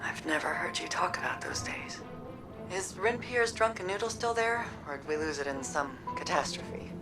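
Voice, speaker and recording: A woman's voice: lowish voice, animated, normal speed, lightly acted. An actress playing a battle-weary, resilient woman soldier in a video game, speaking plainly and reflectively with a wry edge. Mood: wry teasing. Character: battle-weary, resilient female soldier, tough but tender. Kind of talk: dramatic video game cutscene acting. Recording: music or faint ambient noise behind.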